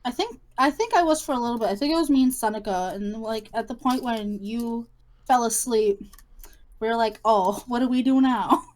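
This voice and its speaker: A woman's voice: on the high side, normal speed, a little mellow. A woman, a guest in a casual chat, giving brief, playful replies.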